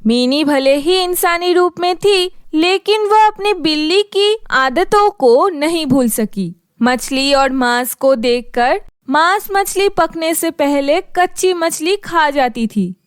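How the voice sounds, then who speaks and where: A woman's voice: sits high, expressive, takes it slowly, hardly any pauses, dry recording, clean studio sound. A woman narrating a scripted children's story as its storyteller.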